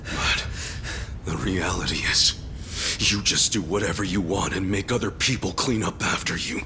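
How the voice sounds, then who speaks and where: An actor playing a righteous, loyal gangster hero in a video game cutscene, speaking in a blunt, earnest, accusing manner. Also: male voice, deep voice, moderate pace, noisy background, lightly acted. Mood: accusing, impassioned. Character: righteous, loyal gangster hero confronting his former young master.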